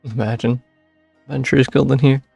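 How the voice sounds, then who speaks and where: A man's voice: mid-range voice, fairly even intonation, brisk, creaky, darkish tone, husky, dry recording, faint music behind. A man streaming a game, giving casual, wry reaction commentary in brief asides on a close microphone.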